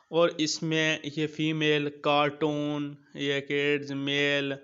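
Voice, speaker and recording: A man's voice: fairly high pitch, a bit bright, clean tone. A man hosting a casual, colloquial app tutorial, addressing viewers like buddies and repeating his points. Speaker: YouTube host of app tutorials. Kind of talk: casual tutorial narration with screen walkthrough. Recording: dull lo-fi sound.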